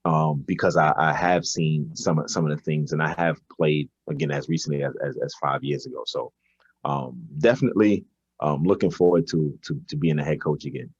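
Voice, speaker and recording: A man's voice: extremely low pitch, steady tone, moderate pace, husky, muffled recording. A man, a basketball coach answering interview questions conversationally, hesitating and repeating words as he thinks. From Brooklyn, New York, United States. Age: 40s.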